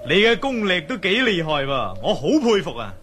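A man's voice: high voice, sing-song, a little slow, sharp tone, a little hiss or hum, heavily acted. A man with a Hong Kong accent playing a bold, courteous Chinese adventurer hero in a radio drama. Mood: hearty, magnanimous.

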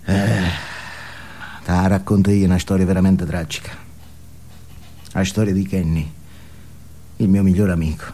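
A man's voice: low voice, level tone, moderate pace, long pauses, warm tone. Man playing a volatile southern Italian biker burnout. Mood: brooding, nostalgic. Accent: southern Italian, Neapolitan-tinged. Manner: ranting, profane, swings between outbursts and confiding storytelling. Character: foul-mouthed, hedonistic middle-aged male biker burnout, volatile and boastful. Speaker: stage actor. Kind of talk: theatrical comic monologue.

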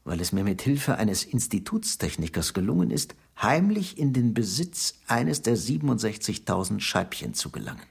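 An actor in a radio drama playing a scheming, secretive Soviet security chief who speaks in a conspiratorial, patronising, insistent way. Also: male voice, on the low side, very animated, normal speed, creaky, hardly any pauses, breathy edge, heavily acted. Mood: confiding.

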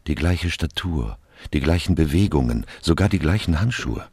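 A man acting as a grave storyteller in a surreal radio play, narrating slowly with a gravelly voice. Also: lively intonation, a little mellow, heavily acted. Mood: solemn, suspenseful. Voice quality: very deep, gravelly. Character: grave male storyteller and questioner in a surreal myth retelling. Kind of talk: scripted narration, slow storytelling.

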